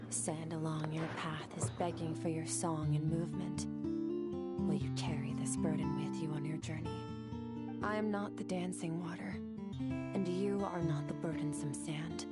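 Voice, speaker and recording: A woman voicing a reserved young swordswoman in a video game, speaking in a quiet, measured way that often drops to a half-whisper. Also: sits low, flat delivery, loud music behind the voice, lightly acted. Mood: wistful.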